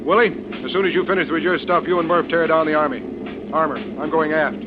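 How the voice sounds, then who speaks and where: An actor playing a calm, decisive bomber colonel in a terse, commanding way, in old-time radio drama style. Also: male voice, fairly high pitch, quick pace, natural voice. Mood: brisk command. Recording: tinny band-limited vintage radio, engine noise.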